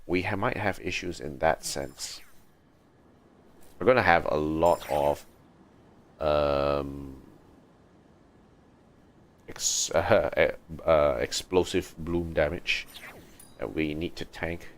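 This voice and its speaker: Low, gravelly man streaming a game. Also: slow, long pauses, a little hiss or hum. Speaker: gaming livestreamer. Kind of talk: casual gameplay commentary.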